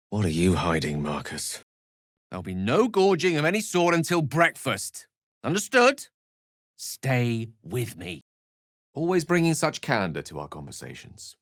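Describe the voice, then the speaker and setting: A man's voice: mid-range voice, fairly bright tone, no echo, clean studio sound. A man voicing an eloquent, wry, learned wizard for a video game, speaking in an articulate, wordy, theatrical manner. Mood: firm. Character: eloquent, learned adult male human wizard, wry and courteous. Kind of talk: video game character voice lines.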